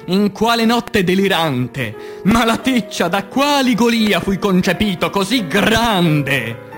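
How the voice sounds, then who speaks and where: Man, high voice, dramatic poetry recital, declamatory, intense, pausing for effect, faint music behind. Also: big pitch swings, fairly bright tone. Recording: voice over music bed.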